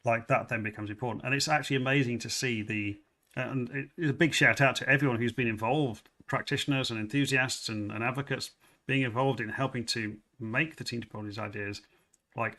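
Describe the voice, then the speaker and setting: A man's voice: mid-range voice, steady tone, a little fast, sharp tone. A man hosting a casual livestream chat, talking loosely with many fillers and repeated words. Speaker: livestream host.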